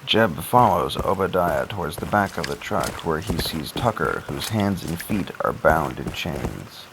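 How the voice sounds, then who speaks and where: A man with a deep voice narrates an audio story from a script. He sets scenes as a storyteller, with clear noise in the background. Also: lively intonation, a little slow.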